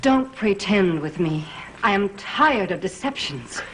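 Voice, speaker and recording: A woman's voice: medium pitch, lively intonation, a little slow, a bit bright, slight background noise, low-quality recording. An actress playing an unhappy, yearning married woman in a gothic soap opera, speaking earnestly. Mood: insistent. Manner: earnest, confessional delivery.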